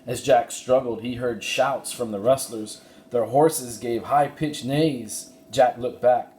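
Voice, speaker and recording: A man giving an expressive narration of a children's storybook. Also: medium pitch, slow pace. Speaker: story reader. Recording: roomy echo, faint background noise.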